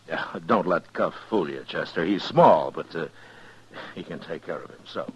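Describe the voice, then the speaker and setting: Low-voiced, steady frontier marshal. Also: male voice, a little slow, husky, heavily acted. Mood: dry, thoughtful. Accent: American. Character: steady, watchful frontier town marshal, grown man. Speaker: lead actor and narrator. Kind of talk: 1950s western radio drama acting, with first-person narration. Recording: muffled 1950s radio recording, music behind.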